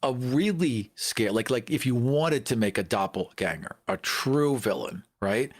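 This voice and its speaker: A man's voice: medium pitch, expressive, moderate pace. A man talking casually as a panelist in a discussion about tabletop gaming.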